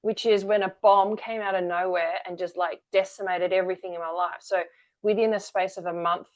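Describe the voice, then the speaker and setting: A woman's voice: on the low side, fairly even intonation, brisk. A woman, a guest telling her story candidly in an interview. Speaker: guest, energy-work founder. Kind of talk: conversational interview.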